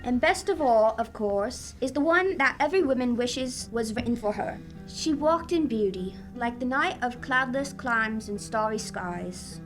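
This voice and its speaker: A playful, theatrical wife with quick wit and affection, acted by a woman in a radio comedy. Her voice is high and swings widely in pitch. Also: animated, faint music behind. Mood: lyrical. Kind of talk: radio drama acting.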